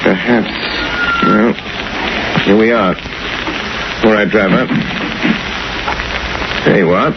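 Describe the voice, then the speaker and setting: An actor playing a suave, wealthy gentleman of leisure in urbane, measured old radio drama style. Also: lowish voice, fairly even intonation, very noisy. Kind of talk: 1930s radio drama acting. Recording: muffled old radio recording with music.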